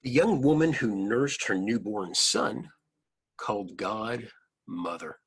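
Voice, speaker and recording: Man, children's story reader, slow pace, creaky husky voice, simple explanatory asides. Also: fairly low pitch. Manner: expressive, halting asides, speaking simply as to young children. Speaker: rabbi reading a picture book aloud.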